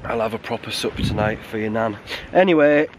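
English man, 30s, hiking vlogger; subdued, halting talk to a handheld camera outdoors, faint wind noise. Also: fairly low pitch. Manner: subdued, halting and grieving, with many restarts. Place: outdoors on a windy winter mountain, sheltering behind a stone wall. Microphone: camera held close at arm's length.

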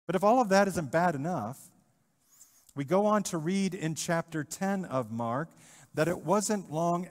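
A middle-aged male pastor preaching a sermon in a church, animated, with rhetorical questions and repetition. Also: fairly high pitch. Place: church sanctuary, in front of the altar. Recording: church livestream with long reverb.

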